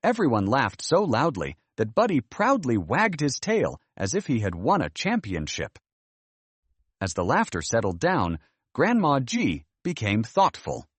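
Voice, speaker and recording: A man tells a scripted children's story with a highly animated, swinging delivery. His voice sits on the high side and has a husky, rough edge. The recording is dry and close, with no room sound. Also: a little slow, no echo. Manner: animated, expressive.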